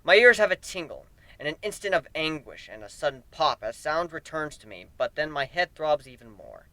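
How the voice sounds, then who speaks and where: A man's voice: on the high side, big pitch swings, moderate pace, bright, forceful tone, no echo, rough recording. A man giving a theatrical, emphatic dramatic reading of a first-person fantasy story. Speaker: narrator of fan fiction.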